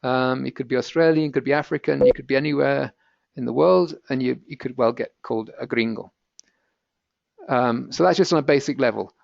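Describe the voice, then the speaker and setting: British man, British accent, gravelly voice, patient encouraging teacher, dull lo-fi webinar sound. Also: medium pitch, a little fast, dry recording. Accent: British English. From Britain. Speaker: language teacher presenting a webinar. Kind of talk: webinar language lesson.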